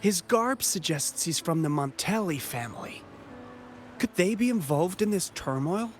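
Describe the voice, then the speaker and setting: An eager, excitable film-keeper. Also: male voice, high voice, measured pace. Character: eager, apologetic man who records events on film. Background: game music.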